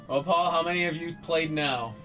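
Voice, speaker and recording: A man's voice: fairly high pitch, moderate pace, sharp tone. A man giving casual, profane gaming banter as a show host, boisterous and teasing, with video game music behind him.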